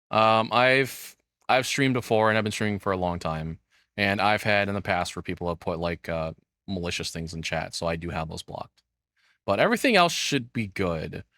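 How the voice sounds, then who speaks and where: A man's voice: on the low side, animated, moderate pace, sharp tone, no echo, studio quality. A man casually rambling on a livestream as he reacts to videos, self-deprecating and swearing freely.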